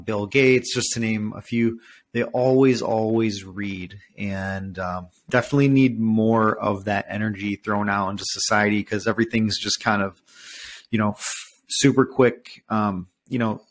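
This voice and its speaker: A man interviewing on a podcast in casual chat, informal and stringing his phrases together loosely. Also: on the low side.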